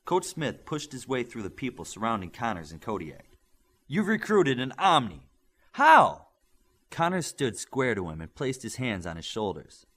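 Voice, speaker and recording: A man reading an audiobook aloud, with steady, expressive storytelling. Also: lowish voice, moderate pace. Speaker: audiobook reader. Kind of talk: audiobook narration.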